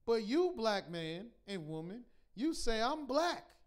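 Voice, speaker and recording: A man teaching a scripture class in a preaching style, reading verses slowly and pressing his points with repeated rhetorical questions. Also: extremely high pitch, very animated. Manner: emphatic, repetitive rhetorical questions; slow deliberate scripture reading.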